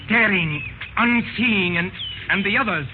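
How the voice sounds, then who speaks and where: A man acting an excitable, superstitious young man in a 1930s radio play, urgent and melodramatic. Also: high voice, a little slow. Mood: horrified. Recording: noisy, tinny 1930s radio recording.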